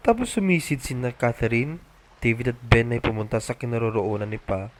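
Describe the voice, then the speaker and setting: A man's voice: medium pitch, fairly quick. A man reads a children's storybook aloud as the narrator. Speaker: storybook reader.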